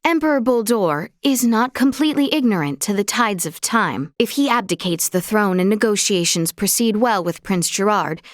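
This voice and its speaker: A young woman playing a courteous, earnest princess in a video game, speaking in formal, archaic courtly phrases with gracious, measured manner. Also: medium pitch, no echo, clean studio sound, lightly acted. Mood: resolute, composed. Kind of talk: scripted video game character dialogue.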